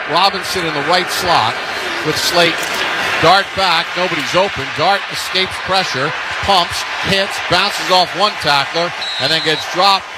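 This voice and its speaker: American radio play-by-play man, loud stadium noise. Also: a little high. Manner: clipped, punchy phrases. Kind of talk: live American football radio commentary. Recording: loud stadium ambience behind radio broadcast. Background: stadium ambience.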